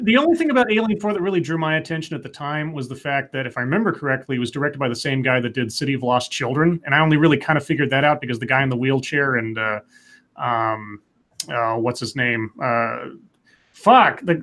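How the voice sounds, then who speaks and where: A man's voice: mid-range voice, brisk, fairly bright tone. A man co-hosting a podcast, chatting casually, swearing now and then and repeating words. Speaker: podcast co-host. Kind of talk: casual chat.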